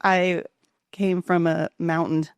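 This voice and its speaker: A woman's voice: on the low side, little pitch movement, slow, clean tone, no room sound, clean studio sound. A woman from Pennsylvania hosting a podcast, asking curious questions and laughing easily as she chats. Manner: friendly, curious interviewer who laughs often. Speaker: podcast host. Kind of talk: casual interview talk.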